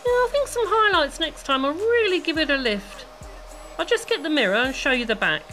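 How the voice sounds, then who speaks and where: Bright, lilting British hairdresser, played by an actress. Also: female voice, high-pitched, expressive, a little fast, soft background music, low-quality recording. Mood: bright, chatty. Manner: motherly, calls people "love". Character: chatty, kindly, sympathetic female hairdresser. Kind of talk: audio play acting.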